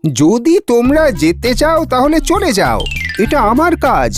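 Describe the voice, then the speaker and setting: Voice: mid-range voice, huge pitch swings, measured pace, music in the background. A performer voicing an easygoing, sly adult repairman uncle in a cartoon, with an exaggerated, reassuring delivery. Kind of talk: animated cartoon dubbing.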